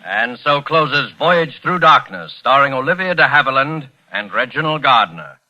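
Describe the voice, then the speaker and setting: A man announcing the close of a 1940s radio suspense show, measured and dramatic, on a vintage recording. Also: medium pitch, big pitch swings, dull audio. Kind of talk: 1940s radio sign-off, scripted. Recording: vintage radio recording under loud music.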